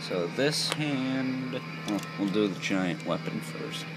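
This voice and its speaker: A man's voice: lowish voice, lively intonation, slow pace, loud music behind the voice, rough recording. A young man giving a casual, off-the-cuff build tutorial in a rambling, jokey, self-deprecating way. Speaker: toy build video host.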